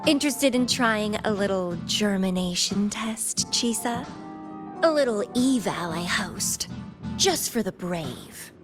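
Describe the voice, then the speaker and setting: A slow, teasing, dangerous temptress. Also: female voice, medium pitch, lively intonation, over music. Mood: seductive, playful. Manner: teasing, theatrical, unhurried. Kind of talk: video game voice acting.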